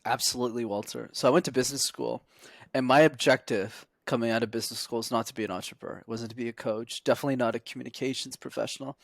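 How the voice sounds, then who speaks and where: A man's voice: medium pitch, steady tone, quick pace, gravelly, no room sound, studio quality. A young man from Montreal, a public speaking coach, answering interview questions fluently and with an upbeat storytelling manner. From Montreal, Quebec, Canada. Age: young adult. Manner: fluent and upbeat, tells stories with punchlines.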